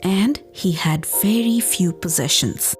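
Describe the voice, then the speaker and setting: Slow, animated woman storyteller. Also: lowish voice, faint music behind. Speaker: podcast host and storyteller. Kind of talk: expressive folktale storytelling narration.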